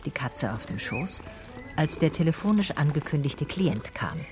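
A female private eye in a radio play, speaking in a lowish voice. She observes people closely and comments with dry, wry understatement. Music sits behind her. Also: expressive, moderate pace. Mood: wry narrating. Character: Cher Ebinger, wry, observant Swabian female private detective. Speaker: narrator and lead character. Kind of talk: radio play narration and dialogue.

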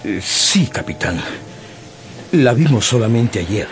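A man's voice: lowish voice, animated, takes it slowly, a little hiss or hum. An actor playing a desperate older ship captain begging for help to find his son, in a radio drama. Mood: pleading. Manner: pleading, emotional, voice swinging wildly. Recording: radio drama with music bed. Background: music score.

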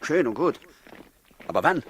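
An actor playing a bitter, sceptical rebel of ancient Judea, sarcastic and challenging, in 1960s German radio drama style. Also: male voice, medium pitch, sing-song, normal speed, fairly bright tone, a little hiss or hum. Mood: scornful.

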